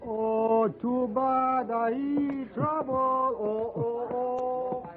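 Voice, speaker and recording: An actor playing an excitable, friendly Chinese hotel servant who exclaims in broken English, in a 1950s radio western. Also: male voice, very high voice, drawn-out words, full character voice. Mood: teasing concern. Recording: tinny old radio broadcast, crowd behind.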